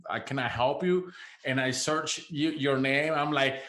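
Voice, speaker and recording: Venezuelan guy hosting a podcast, accent, eager, repeats himself a lot, mid pitch. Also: a little slow, a bit bright. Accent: Venezuelan Spanish. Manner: enthusiastic, repeats words, laughs often. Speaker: podcast host. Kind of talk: casual interview.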